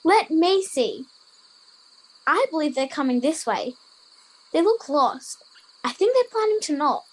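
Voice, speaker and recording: A woman's voice: sits high, animated, a little slow, pauses often. An Australian girl of about ten playing a prim, bossy Victorian boarding-school pupil in an amateur radio play. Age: 10 to 11, child. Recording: noisy home recording with crickets.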